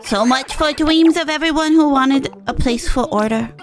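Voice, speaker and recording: A woman voicing a childish, sulky but good-hearted small AI boss from a video game, with a halting, earnest manner. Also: high-pitched, noisy background, lightly acted. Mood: wistful. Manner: halting, earnest, self-correcting. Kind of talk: reading video game dialogue in character.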